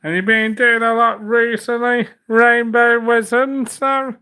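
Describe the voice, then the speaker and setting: A man's voice: very high-pitched, little pitch movement, measured pace, pushed and bright, smooth. A man hosting a casual livestream, rambling and repeating himself, with a slight cough from a cold. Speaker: livestream host.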